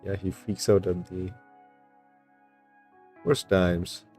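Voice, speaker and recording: A man gives casual game playthrough commentary as a streamer. His voice is very low and dark. Game music plays softly behind him. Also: level tone, slow pace.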